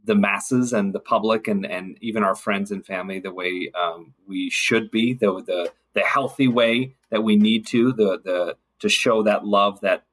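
Husky man in thoughtful podcast conversation. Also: on the low side, steady tone, a little slow, hardly any pauses, a bit bright. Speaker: podcast guest. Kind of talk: casual reflective conversation.

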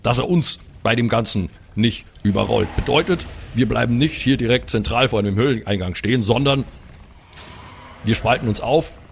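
A man's voice: mid-range voice, moderate pace, gravelly, slight background noise. A man, probably in his thirties, improvising as a bossy, slangy player in a tabletop role-playing game. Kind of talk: improvised in-character tabletop play and banter.

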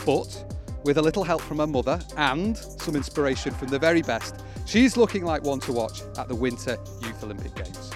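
A man presenting a scripted sports podcast in a brisk, upbeat way, over a music bed. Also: on the high side, expressive. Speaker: podcast host.

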